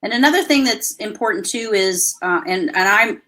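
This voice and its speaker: A woman hosting a live webinar, talking through a video call. Her voice is loud and carries, with a bright, forceful edge. She coaches in an energetic, chatty way. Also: mid-range voice, normal speed. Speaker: host.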